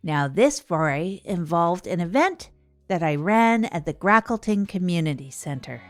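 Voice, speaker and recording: A woman's voice: on the low side, lively intonation, normal speed, over music, lightly acted. A woman playing a self-deprecating radio host in an improvised comedy audio drama, conversational and given to digressions. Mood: chatty, wry. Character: chatty, rambling radio show host chronicling self-improvement attempts. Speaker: host and narrator.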